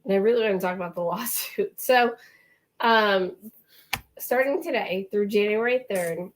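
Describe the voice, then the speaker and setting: A woman co-hosting a casual podcast chat, talking quickly with laughing asides while she is losing her voice. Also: medium pitch. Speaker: podcast co-host.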